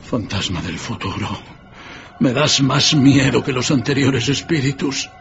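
An actor playing a frightened, repentant old miser in a radio drama, earnest and hesitant. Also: male voice, medium pitch, a little slow, gravelly, fairly bright tone, a little hiss or hum. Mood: fearful, earnest. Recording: dull radio recording, music behind.

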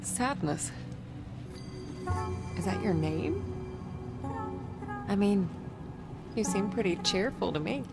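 An actress playing a weary, guarded young psychic woman in a video game, speaking in a measured, dry, hesitant way. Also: medium pitch, lively intonation, gravelly. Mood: gentle, curious. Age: young adult. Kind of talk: cinematic video game acting. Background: game music.

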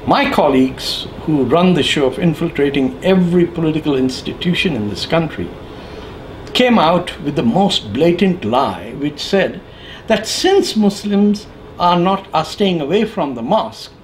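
A man in his sixties giving an opinion monologue to camera, emphatic and sardonic, with deliberate phrasing. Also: fairly high pitch, lively intonation, poor audio. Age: 60s. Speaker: political commentator. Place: living room with bookshelves, indoors. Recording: clear background noise.

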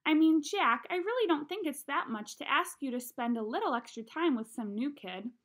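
A woman voicing an earnest, coaxing mother in a storybook, gently insistent and persuasive. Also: high voice, animated, moderate pace, voice pushed up.

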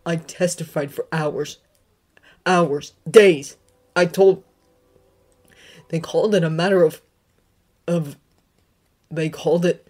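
A woman voicing a grieving young man who speaks in clipped, halting bursts. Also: low voice, steady tone, darkish tone. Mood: bitter.

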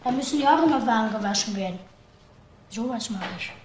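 A man's voice: very high-pitched, expressive, a little fast. A young male actor playing a naive, withdrawn seventeen-year-old brother who answers simply and hesitantly in a crime radio play. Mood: subdued, naive. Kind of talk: German crime radio drama acting. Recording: roomy, faint background noise.

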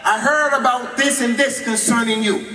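High-voiced American preacher in an echoey hall. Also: male voice, normal speed, slight background noise. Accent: American English. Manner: animated, gestures widely, mixes in Arabic phrases. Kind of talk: mosque lecture. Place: large mosque hall, indoors. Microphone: stand microphone, filmed from a distant camera. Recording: echoey hall, distant camera mic.

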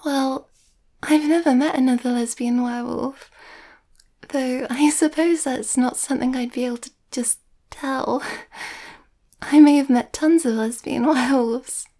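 A woman acts out a shy, overthinking young werewolf girlfriend in an ASMR roleplay. Her voice is high, mellow and breathy, often close to a whisper. She speaks slowly and hesitantly, sweet and bashful. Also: steady tone. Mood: shy, musing.

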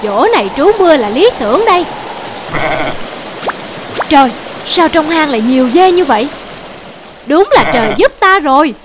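High-pitched, envious goatherd. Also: female voice, sing-song, moderate pace, pauses often, background noise. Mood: greedy. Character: envious, greedy, stubborn male goatherd. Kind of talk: dramatic cartoon dubbing. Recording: music behind the voice.

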